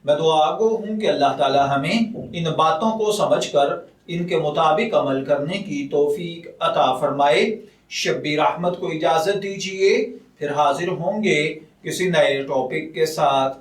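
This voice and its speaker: A man speaking as a religious scholar, calm and measured, with a slightly high voice. He talks very slowly and formally. The recording is echoey, with the voice a little distant from the mic. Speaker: religious scholar answering a question. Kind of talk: slow formal religious ruling talk. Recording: echoey room, voice a bit far from the mic.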